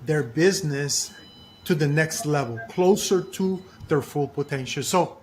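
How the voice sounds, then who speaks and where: A man with a slightly high voice hosts an interview podcast, with some background noise around him. He speaks deliberately and leans on repeated phrases to stress his points. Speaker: podcast host.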